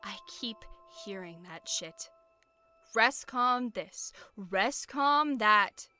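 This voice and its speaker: Woman acting a bright-voiced, slow-spoken, doubting female warrior. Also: mid-range voice, lively intonation, heavily acted. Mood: bitter, challenging. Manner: blunt, informal, questioning. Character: blunt, self-doubting young female warrior and leader. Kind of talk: scripted audio roleplay acting. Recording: music behind the voice. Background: background music.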